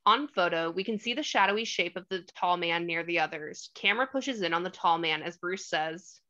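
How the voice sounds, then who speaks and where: A woman's voice: fairly low pitch, a little fast, bright, forceful tone. A woman reading stage directions as the narrator of a screenplay table read. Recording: slightly dull lo-fi audio.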